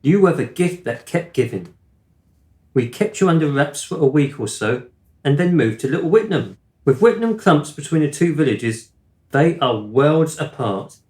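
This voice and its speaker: An actor playing a gentle, absent-minded middle-aged father in a radio play, with a wistful storyteller's manner. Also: on the high side, big pitch swings, moderate pace, roomy sound. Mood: tender.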